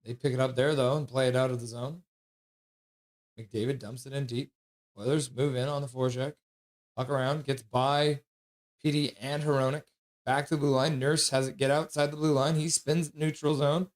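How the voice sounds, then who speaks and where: A man's voice: mid-range voice, little pitch movement. A man hosting a live stream, calling the action in casual play-by-play and reading chat messages aloud. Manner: reads chat messages aloud and chats back; quick, offhand running description of the action. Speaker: livestream host.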